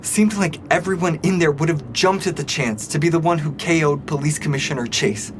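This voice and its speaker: A man's voice: a little high, fairly quick, background noise, close to an everyday voice. A man playing an eager rookie police officer in an audio drama, speaking in an earnest, awestruck way. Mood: admiring. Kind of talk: scripted audio drama acting.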